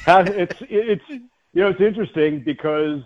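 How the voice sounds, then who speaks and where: A man's voice: sits high, normal speed. An American man, a play-by-play announcer, chatting casually as a radio guest over a guest line. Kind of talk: casual joking interview chat. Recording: slightly dull lo-fi guest line.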